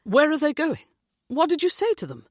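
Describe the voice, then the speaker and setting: A woman's voice: on the low side, very animated, moderate pace, a bit bright, no echo, studio quality. A woman voicing a worried, insistent father in an audiobook. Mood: distressed. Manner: urgent, pressing.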